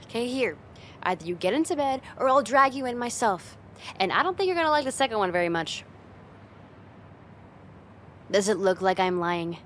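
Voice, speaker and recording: A woman's voice: medium pitch, big pitch swings, normal speed, long pauses, fairly bright tone, slight background noise. A woman playing a confident, teasing young woman, a friend's older sister, in a casual ASMR roleplay spoken straight to the listener. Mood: exasperated, coaxing. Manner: playful, teasing, casual, giggly, lightly exasperated.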